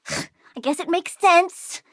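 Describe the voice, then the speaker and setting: A woman's voice: very high voice, drawn-out words, dry recording, clean studio sound, exaggerated voice. A woman voicing a childlike young plant-controlling villain girl in a video game, perky, precocious and sassy, eager and cheerfully menacing. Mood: bratty, menacing. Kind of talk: video game character voice lines.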